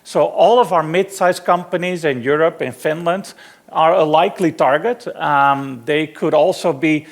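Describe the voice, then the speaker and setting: A middle-aged man from the Netherlands with a Dutch accent, giving a relaxed, self-deprecating technical conference talk with frequent fillers. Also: on the high side, measured pace. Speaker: conference speaker. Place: conference hall.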